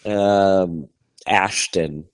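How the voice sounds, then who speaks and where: A man's voice: deep voice, very slow, smooth, no room sound. A man co-hosting a podcast, chatting casually and animatedly, laughing often. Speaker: podcast co-host. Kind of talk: casual chat.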